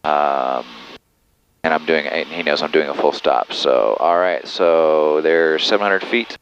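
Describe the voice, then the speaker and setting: A man's voice: rumbling low, unhurried, dull audio. A man who is a student pilot, talking himself through each step of flying into an aviation headset. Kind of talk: self-narrated cockpit commentary. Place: small aircraft cockpit in flight. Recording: noisy aircraft cabin, engine drone. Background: aircraft engine noise.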